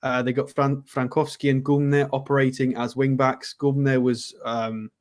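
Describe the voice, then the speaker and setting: A man's voice: mid-range voice, little pitch movement, unhurried. A man co-hosting a casual live football chat show, blunt and opinionated, with frequent hesitant ums. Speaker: football pundit co-host.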